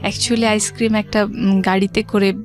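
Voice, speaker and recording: A woman's voice: mid-range voice, flat delivery, normal speed. A woman from Rajshahi with a Bangladeshi accent, telling her life story conversationally as a radio guest and mixing in English words. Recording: background music under the voice. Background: music.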